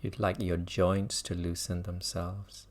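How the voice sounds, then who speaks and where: A man leading a guided mindfulness meditation as a teacher, speaking gently with long pauses between phrases. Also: low-pitched, level tone.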